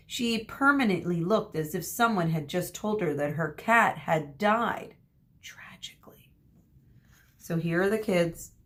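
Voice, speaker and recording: A woman reading a children's story aloud expressively as a teacher, pausing to explain words and ask questions. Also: on the low side.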